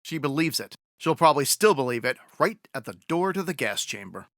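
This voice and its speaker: A hard-nosed police sergeant in a 1950s-style radio detective drama. His voice is sharp and forceful, recorded dry, and he presses his points in a matter-of-fact, sardonic way. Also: fairly high pitch, very animated, a little fast, creaky, no room sound, full character voice. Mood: stern, needling. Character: tough no-nonsense male police sergeant.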